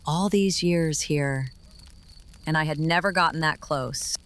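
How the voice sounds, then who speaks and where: An actor in an audio drama playing a bitter, grief-driven, menacing character out for revenge, speaking in deliberate, clipped phrases. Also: mid-range voice, a bit bright, close to an everyday voice.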